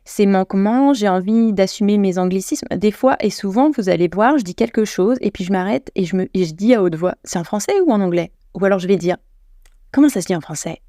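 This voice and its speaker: French woman host, solo podcast chat, self-correcting, warm mellow voice. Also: medium pitch, a little fast, no room sound, studio quality. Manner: chatty, self-deprecating, many restarts and self-corrections.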